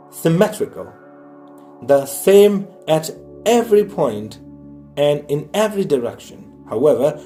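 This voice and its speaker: Lively-pitched, mellow man reading an audiobook. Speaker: audiobook reader. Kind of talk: scripted narration, reading a science book aloud.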